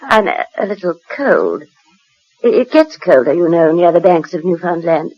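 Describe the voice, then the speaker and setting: British woman, well-bred and hesitant, husky, very animated delivery, vintage radio drama. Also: lowish voice, moderate pace, lo-fi sound. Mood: dreamy, wistful. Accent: British English. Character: well-bred lady, by turns shocked visitor and dreamy mental patient. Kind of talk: 1940s radio acting. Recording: old 1940s radio recording.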